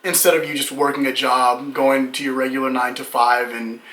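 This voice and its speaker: Man in his late twenties, bright voice, joking and teasing, echoey room. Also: mid-range voice, little pitch movement, a little fast. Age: 20s. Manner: joking, teasing, reads questions aloud. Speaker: channel co-host reading viewer questions. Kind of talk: casual couple Q&A chat.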